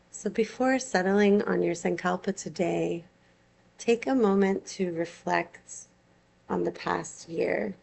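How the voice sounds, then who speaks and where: A woman teaching yoga nidra, guiding a relaxation with soothing, unhurried phrasing and long pauses. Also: fairly low pitch, vocal fry, a little mellow, breathy edge. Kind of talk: guided meditation, gentle instruction.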